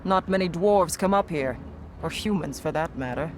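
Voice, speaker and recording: A man playing a big, proud, easygoing hunter in a video game cutscene, hearty and unhurried. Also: on the high side, slight background noise, close to an everyday voice. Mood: hearty, amused.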